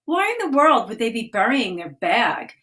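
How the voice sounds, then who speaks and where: Lady reading a kids' picture book on a live stream, bit high voice, gentle and clear. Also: sing-song. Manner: gentle, clear read-aloud pacing, pausing to point out the pictures. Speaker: children's author reading aloud. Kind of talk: storybook reading aloud. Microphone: phone or webcam on a live video stream.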